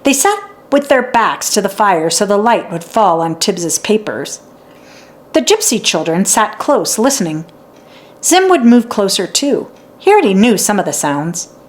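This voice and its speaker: A woman narrating a children's story in an expressive read-aloud style for young listeners. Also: mid-range voice, measured pace. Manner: acts out dialogue and sounds out letters.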